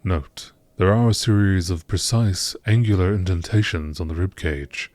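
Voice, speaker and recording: A man reading scripted text aloud in a measured nature documentary style, as an amateur narrator. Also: deep voice, a little mellow, no echo.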